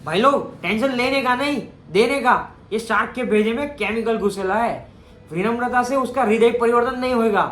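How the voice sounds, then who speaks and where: A man's voice: very high voice, normal speed, fairly bright tone. A man mimicking a cocky Bombay gangster sidekick from a film comedy, full of swaggering street slang. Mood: cocky, rousing. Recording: echoey room, faint noise.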